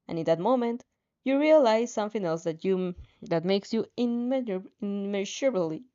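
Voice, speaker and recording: A woman reading a story aloud dramatically in the second person, expressive and informal, sometimes tripping over her words. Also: mid-range voice, normal speed. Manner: expressive, stumbles over words and giggles at slips. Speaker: fan fiction reader and reactor. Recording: dull lo-fi sound.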